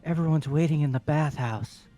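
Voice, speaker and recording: A man's voice: on the high side, normal speed, warm tone, soft background music, close to an everyday voice. A man playing a casual, impatient young male student in an amateur game dub. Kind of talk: amateur fully voiced game playthrough.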